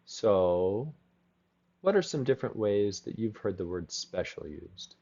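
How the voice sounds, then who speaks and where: Laughing English teacher on a dull video call. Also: male voice, lowish voice, lively intonation, dark tone, husky. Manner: encouraging, laughs often, explains words slowly. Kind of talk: casual conversational lesson. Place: home. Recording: slightly dull lo-fi call audio.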